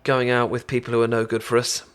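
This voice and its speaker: A British man playing a caring, chatty best friend in an intimate ASMR roleplay, talking casually with halting, stammering explanations. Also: medium pitch, monotone, clean tone, lightly acted. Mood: wry, hesitant. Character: caring, chatty male best friend teaching sushi making.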